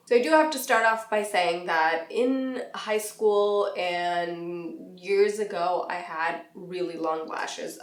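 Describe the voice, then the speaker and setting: A young woman talks to her camera in a chatty, easygoing way, laughing now and then between sentences. Her voice sits a bit low for a woman and sounds a little nasal and raspy as she gets over an illness. The recording has a noticeably roomy echo. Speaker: beauty vlogger. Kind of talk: casual talk to camera.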